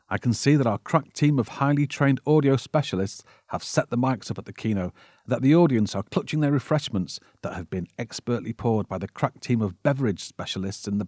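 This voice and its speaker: Low-voiced British man narrating drily. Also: darkish tone, no room sound, clean studio sound. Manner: wry, theatrical storytelling. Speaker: podcast host. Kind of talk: scripted narration.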